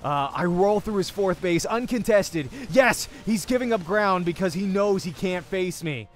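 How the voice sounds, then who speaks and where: A man's voice: high-pitched, expressive, unhurried, soft background music. A young man hosting a let's play, reading a visual novel aloud in the first person with dramatic storytelling.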